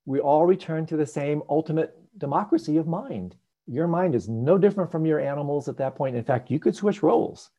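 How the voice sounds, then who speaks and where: A man hosting an online hangout, teaching in a casual, animated, joking way with tangents, heard over a video call. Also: on the high side, brisk. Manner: animated, jokey, digressive. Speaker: host and meditation teacher. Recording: dull lo-fi video call audio.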